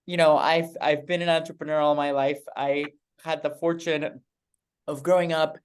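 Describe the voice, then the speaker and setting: A man's voice: fairly high pitch, flat delivery, normal speed, smooth. A man from Mexico introducing himself on a panel, upbeat and repeating words as he goes.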